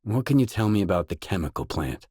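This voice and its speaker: Casting: pragmatic wasteland mercenary in a video game; lowish voice, dry close recording, restrained and matter-of-fact. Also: male voice, big pitch swings, fast, darkish tone, husky, no echo, heavily acted. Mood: matter-of-fact, guarded. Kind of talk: video game character dialogue.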